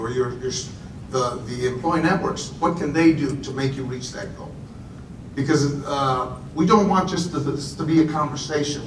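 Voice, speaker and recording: A man who grew up in Puerto Rico gives a conversational conference talk to a live audience, often repeating words and making chatty asides. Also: a bit bright. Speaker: speaker. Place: conference room. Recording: roomy echo, voice from far off, faint rumble.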